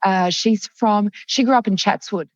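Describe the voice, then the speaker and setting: A woman's voice: mid-range voice, normal speed, no echo, highs cut off. A woman from France, a company chief executive, answering interview questions with many ums and restarts.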